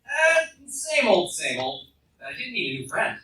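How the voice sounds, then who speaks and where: A woman playing a weary, friendly night-owl hero, low-key and casual, in a roomy, distant radio play recording. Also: very animated, a little slow, sharp tone. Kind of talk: fan radio play acting.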